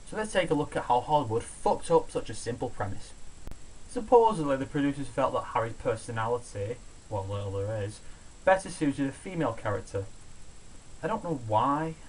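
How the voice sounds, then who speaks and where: Guy doing a sarky sweary review voiceover, mid pitch, bit of hiss behind him. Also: rough recording. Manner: sardonic, opinionated, sweary. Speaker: video reviewer, host of a countdown show. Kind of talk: scripted review narration. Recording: steady background hiss, amateur home recording.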